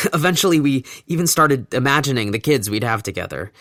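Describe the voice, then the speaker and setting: A wry young man tells his story in an audio drama, gently amused and reflective. His voice sits at an ordinary middle pitch. The close studio sound is dry, with no room around it. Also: sing-song, moderate pace, dry recording. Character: young man recounting his lockdown relationship. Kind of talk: first-person audio drama narration.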